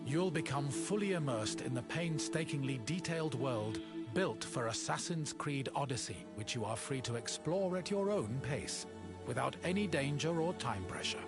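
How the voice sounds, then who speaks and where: A man voicing a solemn male narrator who introduces a historical tour in measured, formal, inviting scripted narration. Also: medium pitch, lively intonation, lightly acted. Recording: loud game music behind.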